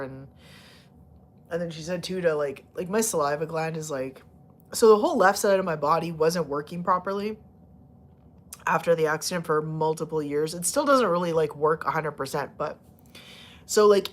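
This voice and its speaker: A woman hosting a casual live stream, chatting with viewers and cooing at her cats, laughing often and rambling with fillers as her voice starts to go. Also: low-pitched. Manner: giggly, playful baby-talk to her cats. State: losing her voice. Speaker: livestream host talking to viewers and her cats.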